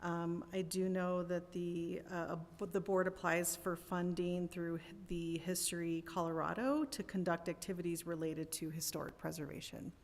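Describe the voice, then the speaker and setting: A woman's voice: lowish voice, steady tone, normal speed, hardly any pauses. Nervous woman, echoey council chamber. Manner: hesitant, many ums and restarts. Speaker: board applicant. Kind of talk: nervous interview answers. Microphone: podium mic.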